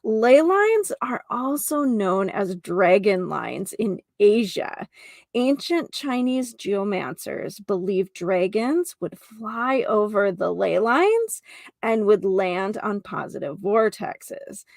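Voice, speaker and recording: Gravelly-edged woman explaining in an informal lesson. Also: mid-range voice, sing-song, takes it slowly, dry recording, clean studio sound. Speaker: podcast host. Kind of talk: informal spiritual lesson, part read from notes.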